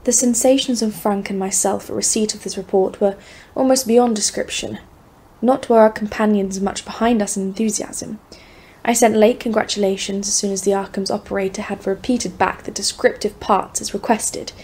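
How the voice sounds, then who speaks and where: A woman's voice: mid-range voice, steady tone, normal speed, darkish tone, slightly rough. A woman playing an earnest expedition leader, narrating events formally in an audio drama. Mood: eager. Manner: formal, literary phrasing. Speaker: voice actor in an audio play. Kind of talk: scripted first-person narration, audio drama.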